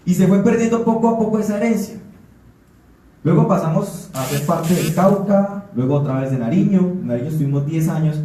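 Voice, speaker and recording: A man's voice: high voice, lively intonation, a little fast, warm tone, slight background noise. A man from Colombia giving an earnest talk at a forum, often restarting and repeating words. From Colombia (Putumayo). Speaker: panel speaker. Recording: echoey room, speaker far from mic.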